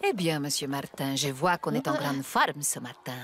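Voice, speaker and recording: A woman's voice: very deep voice, animated, normal speed, fairly bright tone. An actress playing a sultry female villain spy in a cartoon, cool, taunting and mock-polite. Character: sultry, mocking female villain spy posing as gym teacher. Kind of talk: animated cartoon voice acting.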